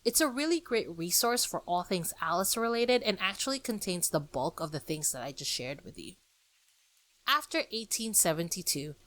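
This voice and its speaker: A woman's voice: mid-range voice, fairly bright tone, dry recording. Chatty woman podcaster, close and dry. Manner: chatty, friendly, addresses listeners directly. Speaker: podcast host. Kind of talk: informal podcast talk on book history.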